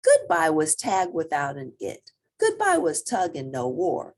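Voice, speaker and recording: Very animated, slow-paced woman storyteller. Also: deep voice. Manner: expressive, theatrical emphasis. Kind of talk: children's picture book read-aloud.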